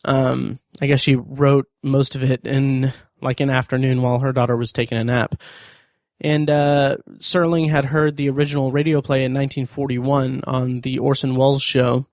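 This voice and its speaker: Guy doing podcast commentary, kinda halting delivery, average pitch, really dry close recording. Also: level tone, darkish tone, no echo. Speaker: podcast host. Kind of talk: podcast review commentary from notes.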